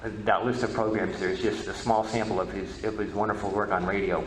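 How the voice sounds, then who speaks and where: A man giving an informal history lecture as presenter, halting and often repeating words. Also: fairly low pitch, little pitch movement. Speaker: presenter, media history researcher. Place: presentation room at a convention. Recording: echoey room with background noise.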